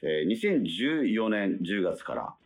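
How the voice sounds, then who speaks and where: A man hosts a radio show in a deep, slightly rough voice with lively intonation. His announcements are halting and deliberate, with faint music behind them. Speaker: radio show host and scriptwriter.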